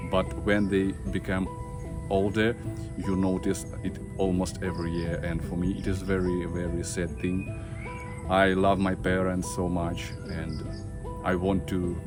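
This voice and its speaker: A man in his thirties with a Russian accent, talking to camera about his family, halting with many fillers and restarts. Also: deep voice. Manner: halting, many fillers and restarts, simple non-native grammar. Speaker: vlogger. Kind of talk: personal talk to camera.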